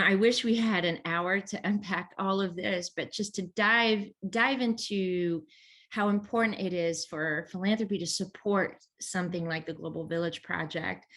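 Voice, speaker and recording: A woman's voice: on the low side, fairly bright tone. Upbeat woman hosting over video call. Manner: enthusiastic, upbeat. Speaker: podcast host. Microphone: video call laptop mic.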